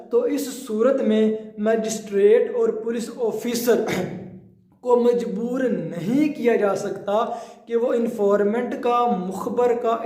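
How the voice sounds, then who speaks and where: A man with a Pakistani accent giving an explanatory law lecture, deliberate, reading out clauses and restating key phrases in other words. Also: very high-pitched, warm tone. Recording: very echoey, reverberant room sound.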